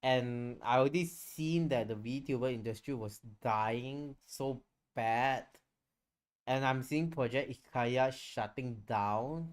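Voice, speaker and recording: A man's voice: medium pitch, smooth. A man chatting casually on a livestream, rambling and candid, swearing freely as he goes. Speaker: streamer and VTuber.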